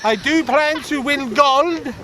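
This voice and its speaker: A man doing a giggly high-voiced Norwegian braggart. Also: slow, crowd noise. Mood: boastful. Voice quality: giggly, broken English, put-on foreign accent. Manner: boastful and earnest. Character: giggly Norwegian man, eager would-be tobogganist. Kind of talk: improvised comedy sketch. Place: outdoors on a snowy slope. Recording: noisy outdoor recording, traffic nearby.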